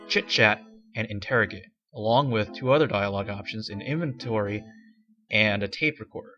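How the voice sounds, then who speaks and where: Casual: dude narrating his let's play, lowish voice, kinda rambly, explaining menus, game music loud behind.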